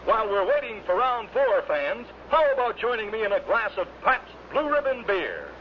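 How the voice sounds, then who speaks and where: A man's voice: high voice, sing-song, normal speed. A man working as a radio announcer in a vintage broadcasting style. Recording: muffled, noisy old radio broadcast.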